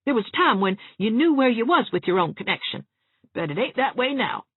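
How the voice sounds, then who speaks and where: A woman's voice: a little high, big pitch swings, a little fast, slightly rough, dry recording, pitched above the performer's own voice. A woman voicing a gloomy, fretful elderly spinster who frets and forever fears the worst. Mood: fussy. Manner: mournful, fussy, forever fearing the worst. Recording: tinny phone-like sound.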